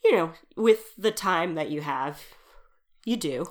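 A woman's voice: deep voice, lively intonation, unhurried. A woman co-hosting a podcast with a stuffed-up nose from allergies, chatting and giggling about a movie. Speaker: podcast co-host. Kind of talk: casual movie review chat.

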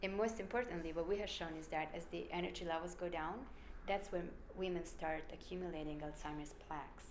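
A woman's voice: on the low side, fairly even intonation, brisk, hardly any pauses, echoey room, slight background noise. A woman with an Italian accent, a researcher explaining her work in an emphatic, conversational interview. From Italy. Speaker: brain researcher guest.

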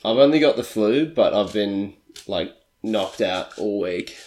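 A young man with a low voice co-hosts a podcast, stuffed up and nasal from the flu. His humour is dry and teasing and he laughs easily, all in an echoey room. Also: animated, measured pace, darkish tone. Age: young adult. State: congested with flu. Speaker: podcast co-host. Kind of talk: casual chat.

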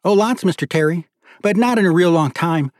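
A man's voice: sits high, fairly quick, dry recording, studio quality, pitched above the performer's own voice, natural voice. A man voicing an eager, guileless young man with childlike enthusiasm in an audiobook story. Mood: eager, proud. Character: eager, innocent, excitable simple-hearted young man.

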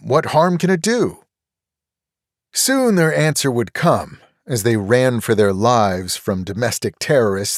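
A man narrating a first-person nonfiction audiobook in a measured, earnest, deliberate way. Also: mid-range voice, no echo, clean studio sound.

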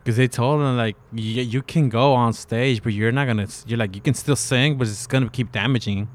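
Halting man co-hosting a casual podcast. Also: mid-range voice. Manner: halting, many fillers and restarts. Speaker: co-host. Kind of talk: casual chat.

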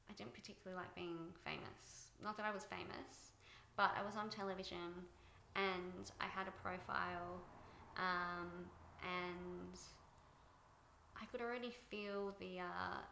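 A soft-spoken woman being interviewed about her directing work, thoughtful and pausing with fillers. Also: lowish voice, steady tone, creaky, reverberant, slight background noise. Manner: thoughtful, many fillers and restarts. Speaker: guest, TV director. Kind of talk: reflective interview talk.

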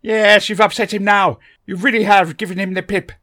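A man's voice: flat delivery, normal speed. A man voicing an eccentric, fussy old male time traveller who dithers and fusses. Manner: fussy, dithering, high and loud.

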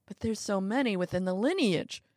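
A woman's voice: on the low side, animated, unhurried, a little mellow, no echo, clean studio sound. A woman voicing a nervous, deferential henchman in an audiobook, with a formal, placating manner. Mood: cautious.

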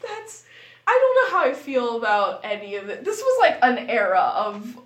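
A woman's voice: a little high, big pitch swings, moderate pace, a bit bright. A woman chatting casually as a podcast guest, giggly and exclamatory, with an incredulous way of talking. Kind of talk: casual comedic chat with a friend.

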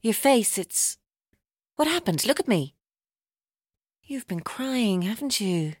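An unhinged female captor in a radio play, swooping widely in pitch. She is taunting and theatrical, turning from playful to bitterly intense, heard very close and dry. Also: very animated, slow, dry recording, exaggerated voice. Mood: controlled, taunting. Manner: theatrical, mocking, mercurial, intense. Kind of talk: audio drama acting.

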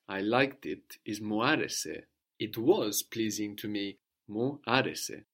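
A man teaching a language lesson, explaining patiently and prompting his student with questions. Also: on the low side.

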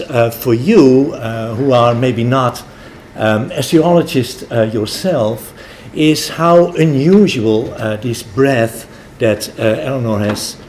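A man's voice: mid-range voice, darkish tone, slight background noise. A Dutch-born man who speaks American English, giving a hesitant vote of thanks with many ums and uhs. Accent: American English, Dutch first language. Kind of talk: informal spoken thanks.

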